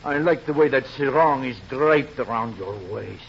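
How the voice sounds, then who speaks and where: An actor playing a suave, amorous lover who woos in a gushing, melodramatic way in 1940s radio comedy style. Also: male voice, mid-range voice, moderate pace, vocal fry, close to an everyday voice. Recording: muffled old radio recording, faint hiss.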